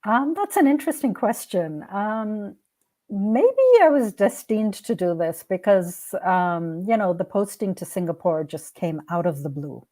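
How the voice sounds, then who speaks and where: A woman's voice: medium pitch, lively intonation, a little slow, mellow. A woman whose native language is Hindi, giving reflective, earnest answers as a guest in a conversational interview. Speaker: podcast guest, art curator and founder.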